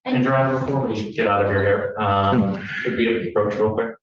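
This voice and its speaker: A man's voice: fairly low pitch, fairly even intonation, moderate pace, muffled recording, slight background noise. Male attorney, hesitant, heard from across an echoey courtroom. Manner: hesitant, filler-heavy. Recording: echoey and distant courtroom audio.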